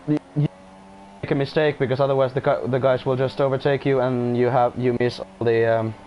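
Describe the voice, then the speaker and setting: A man's voice: mid-range voice, level tone, normal speed, dull audio, a little hiss or hum. Hesitant non-native man commentating races. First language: not English. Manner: hesitant, restarts often, apologetic. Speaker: race commentator. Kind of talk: casual live sim-racing commentary.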